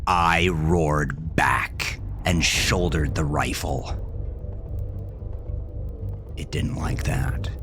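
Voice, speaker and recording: A man reading a scripted first-person horror story in a measured, deliberate, dramatic way. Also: rumbling low, music in the background. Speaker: narrator of a horror fiction podcast.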